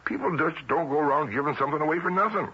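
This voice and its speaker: A good-natured, plain-spoken small-town mailman in a vintage radio play. His husky voice has a forward, bright edge and a homespun manner. The old broadcast recording is slightly dull. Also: on the high side, rapid-fire, full character voice. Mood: doubtful. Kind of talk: 1970s radio drama acting.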